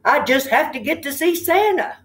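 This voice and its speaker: Woman playing a desperate storybook turkey, animated and wailing. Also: high voice, a little hiss or hum, voice pushed up. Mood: pleading, dismayed. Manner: wailing, exclaiming with drawn-out gobbles. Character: desperate, eager turkey trying to sneak in to Santa.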